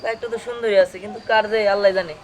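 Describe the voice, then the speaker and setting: A man's voice: high voice, steady tone, rapid-fire, a little hiss or hum, close to an everyday voice. A man playing a petulant, lovesick village son who melodramatically threatens suicide in a comedy drama. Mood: wistful. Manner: petulant, complaining.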